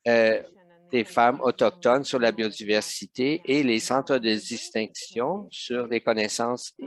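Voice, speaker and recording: Male interpreter voicing a webinar live; slow, halting, mid-range voice. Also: mid-range voice. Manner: hesitant, many fillers and restarts.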